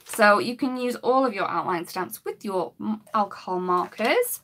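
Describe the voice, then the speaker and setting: A woman, her voice a bit croaky, demonstrating coloring with markers in a chatty live-stream lesson. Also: mid-range voice, expressive, moderate pace, fairly bright tone, a little hiss or hum. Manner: chatty, talks while working, greets viewers. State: slightly croaky voice.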